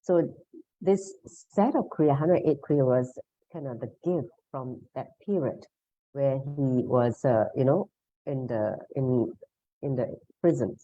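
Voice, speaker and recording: A woman's voice: very deep voice, slow pace, dark tone, no room sound. A woman leading an online meditation lesson, explaining in a devotional, instructional way, over a video call. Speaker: meditation session leader. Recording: dull, muffled online session audio.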